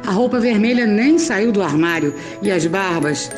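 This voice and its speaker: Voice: mid-range voice, expressive, normal speed. Brazilian storyteller over faint music. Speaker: narrator. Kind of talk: colloquial scripted storytelling narration.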